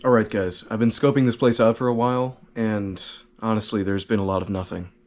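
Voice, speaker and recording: A man voicing a goofy male monster hunter in an indie horror game cutscene, slow and mock-dramatic, talking to his viewers. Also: fairly low pitch, steady tone, a little mellow, slight background noise, natural voice. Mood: deadpan.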